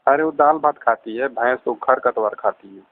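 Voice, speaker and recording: A man's voice: mid-range voice, moderate pace, no room sound. Young man on a tinny phone line. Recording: tinny, band-limited phone call recording.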